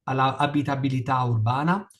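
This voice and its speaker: A man's voice: medium pitch, moderate pace. A man presenting at a conference in Spanish, which is not his first language, speaking carefully with frequent restarts and fillers. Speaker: panelist.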